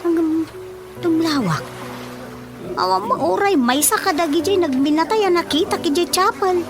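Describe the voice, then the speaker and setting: Gossipy ilocano neighbour in a radio soap, high voice, excitable, loud music under it. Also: female voice, moderate pace. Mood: chatty concern. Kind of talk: radio drama acting. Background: prominent background music.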